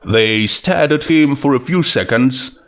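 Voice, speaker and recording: A man's voice: medium pitch, unhurried, a bit bright. A man reading a scripted story aloud as its narrator.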